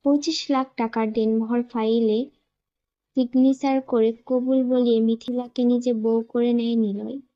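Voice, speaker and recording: A woman narrates a scripted romance story. Her voice sits high in pitch. The recording is somewhat dull and lo-fi. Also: little pitch movement, measured pace, dark tone.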